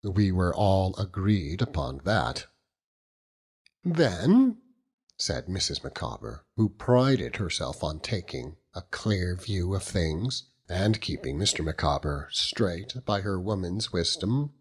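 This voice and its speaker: A man's voice: low voice, lively intonation, a little slow, hardly any pauses, husky. A man reading scripted literary narration as an audiobook narrator.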